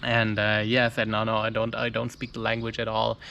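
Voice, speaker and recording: A man's voice: on the low side, monotone, a little fast, gravelly, sharp tone, slight background noise. A man, telling a travel story in casual English, searching for words with frequent restarts and fillers. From Germany. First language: German. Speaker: mountaineer vlogger.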